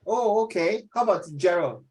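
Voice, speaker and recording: A man's voice: high-pitched, lively intonation, normal speed, darkish tone, breathy edge. A man in his fifties with a Singaporean accent, teaching an informal class discussion, often repeating himself and checking with questions. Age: 50s.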